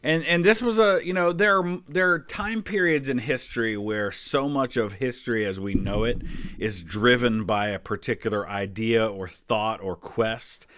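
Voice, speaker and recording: A man's voice: medium pitch, normal speed, a bit bright. An American man in his forties hosting a history channel with casual, conversational reaction commentary and explanatory asides. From United States.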